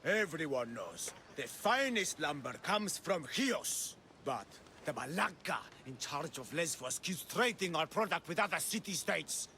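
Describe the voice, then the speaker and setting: A man's voice: a little high, big pitch swings, unhurried, hardly any pauses, sharp tone, a little hiss or hum, exaggerated voice. A man playing a disgruntled, scheming islander in a video game, with an indignant, sardonic delivery. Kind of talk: video game cutscene acting.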